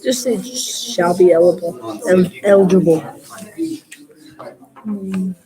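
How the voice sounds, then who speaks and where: A young woman, a student, talking casually and reading aloud haltingly during class work. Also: lowish voice, fairly even intonation, poor audio. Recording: busy classroom chatter behind.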